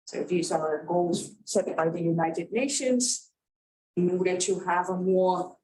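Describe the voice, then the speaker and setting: Slow, hesitant Dutch woman lecturing. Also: lowish voice, fairly even intonation, warm tone, rough recording. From Netherlands. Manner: unhurried with many ums and uhs. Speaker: guest lecturer. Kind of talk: university lecture.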